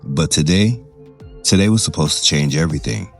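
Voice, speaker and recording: A man narrating a scripted drama in short, measured, dramatic phrases. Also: a bass voice, creaky. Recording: music behind the voice.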